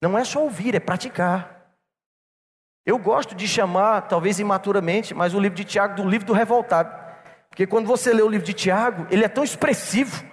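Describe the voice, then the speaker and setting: A man's voice: high-pitched, fairly quick. A man preaching a sermon to a church congregation, telling a personal story and calling them brothers. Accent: non-local Brazilian. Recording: long reverb in a church hall.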